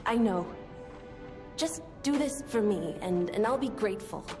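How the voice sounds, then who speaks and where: A woman voicing a gentle, earnest, hesitant young woman in a video game. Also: mid-range voice, animated, unhurried, long reverb tail, music in the background, natural voice. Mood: shyly hopeful. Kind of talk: scripted game drama.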